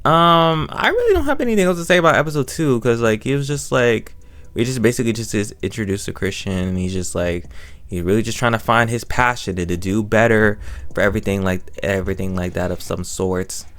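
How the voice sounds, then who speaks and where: A young American man with a low voice recaps a story off the cuff. He rambles as he reviews it, circling back and repeating phrases in a casual way. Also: a little fast, fairly bright tone. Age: young adult.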